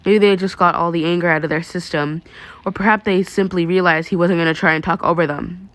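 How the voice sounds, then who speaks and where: A woman's voice: on the low side, fairly even intonation, fairly quick, fairly bright tone, no room sound. A woman narrating fan fiction in the style of an amateur audiobook.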